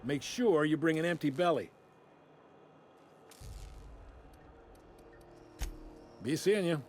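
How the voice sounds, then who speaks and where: A man's voice: a little high, expressive, brisk, faint music behind, natural voice. A man playing a wry, folksy space-station customs officer in a video game, with a droll, casually apologetic drawl. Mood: helpful. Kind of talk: video game character acting.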